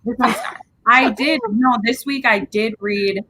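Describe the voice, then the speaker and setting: A woman's voice: fairly high pitch, unhurried, bright, forceful tone. A woman talking casually about books as a reviewer on a group livestream. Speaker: book reviewer in a livestream readalong. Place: at home on a group video call. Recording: noisy home livestream audio.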